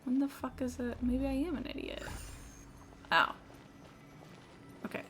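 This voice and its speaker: A woman's voice: high voice, fairly even intonation, measured pace, fairly bright tone, slight background noise. A woman chatting casually as she streams a game, swearing and rambling as she thinks aloud. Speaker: live streamer. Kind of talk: casual gameplay stream chat.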